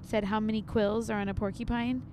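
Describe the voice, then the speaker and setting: A woman's voice: mid-range voice, little pitch movement, brisk, noisy background. A cheerful woman in her thirties co-hosting a podcast, chatting casually with her husband. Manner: cheerful, laughs easily. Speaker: podcast co-host. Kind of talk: casual chat. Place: in a car.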